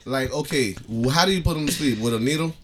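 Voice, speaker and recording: A man bantering casually as a podcast co-host, telling stories in animated, profane slang. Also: medium pitch, quick pace, over a noisy crowd. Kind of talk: casual comedic group banter.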